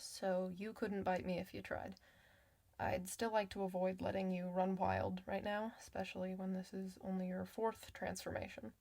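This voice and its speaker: Woman playing caring female clinician, ASMR roleplay, mid-range pitch, gentle soft speech, reassuring, informal bedside chat. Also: gravelly, close to an everyday voice. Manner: soft-spoken, reassuring, chatty bedside manner. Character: kind, reassuring woman clinician at a werewolf check-up. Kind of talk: ASMR medical roleplay addressed to the listener.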